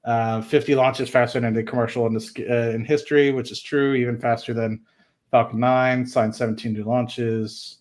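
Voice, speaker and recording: Male host, medium-pitched voice, casual live commentary, reading and reacting in an informal thinking-aloud way. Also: little pitch movement, normal speed, darkish tone. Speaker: host of an investing livestream.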